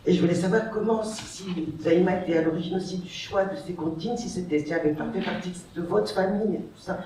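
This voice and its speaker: Polite audience question, kinda halting, far away with lots of room echo and hiss. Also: mid-range voice. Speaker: audience member asking a question. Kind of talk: question from the floor. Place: large echoey library hall. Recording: echoey hall from far off, noisy.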